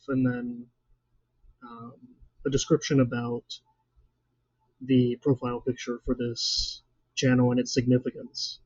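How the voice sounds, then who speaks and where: A young man in his twenties, hosting his own channel, introducing himself in an earnest, measured way with frequent fillers. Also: medium pitch, mellow, no echo, highs cut off. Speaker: channel host. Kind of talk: scripted personal introduction.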